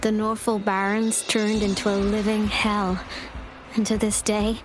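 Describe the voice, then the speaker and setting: A woman's voice: mid-range voice, level tone, measured pace, close to an everyday voice, rough recording. A woman playing a composed, earnest government official in an English game dub, speaking formally and articulately over music. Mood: grave. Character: composed, earnest female government official overseeing city defenses. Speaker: game character, director of defense fortifications. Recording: studio voice under loud music. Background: game soundtrack music.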